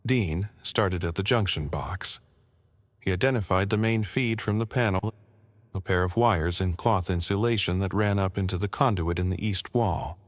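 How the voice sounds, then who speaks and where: A man reading a scripted first-person horror story as narrator, in a measured, matter-of-fact way with short clipped sentences. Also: low-pitched.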